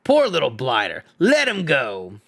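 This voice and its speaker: A man voicing a big, slow-witted male troll with rough, coarse common speech, loud and blustering. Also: medium pitch, huge pitch swings, exaggerated voice. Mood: grumbling.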